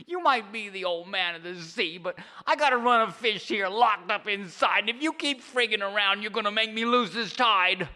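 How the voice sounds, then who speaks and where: A hot-headed fisherman, played by a man shouts his complaints in a shrill, raised voice. The tone is bright and crackling, and he never calms down. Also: normal speed, hardly any pauses. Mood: indignant. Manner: blustery, indignant. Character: fisherman Charlie, hot-headed, high-pitched.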